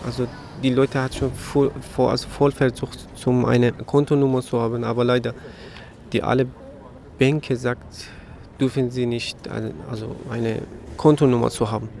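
A man speaking gravely and hesitantly at a protest, often filling pauses with "also". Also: medium pitch, steady tone, darkish tone, slight background noise. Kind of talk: street interview. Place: outdoors on a city street.

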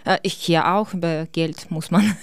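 A woman from Ukraine, a photographer in her forties, answering interview questions in halting German with many fillers. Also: fairly low pitch, steady tone, gravelly, no echo. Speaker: guest photographer. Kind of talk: radio interview.

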